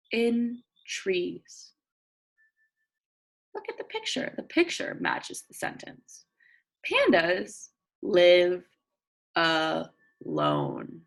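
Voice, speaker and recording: A woman reading a nonfiction book aloud as a teacher of young children, expressive and deliberate, often prompting listeners with questions and thinking out loud in simple explanations. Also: mid-range voice, creaky, breathy edge.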